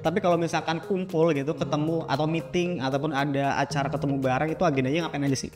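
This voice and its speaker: A man hosting a casual podcast interview in a playful, animated, slangy and joking manner. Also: a little high, fast talker, big-room sound, music in the background. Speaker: podcast host.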